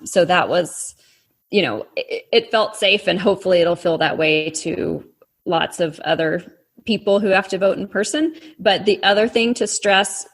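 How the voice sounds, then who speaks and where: A woman with a faint Texas accent talks through her subject in a friendly, patient way, the way you would explain something to a child. Her voice sits low and has a gravelly edge to it. It comes across as a casual interview chat. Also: normal speed. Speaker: author guest.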